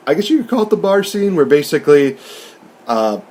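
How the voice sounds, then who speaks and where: A man's voice: fairly high pitch, big pitch swings, fairly quick, darkish tone. A man hosting a casual movie review, rambling through it with enthusiasm. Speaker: podcast host and film reviewer.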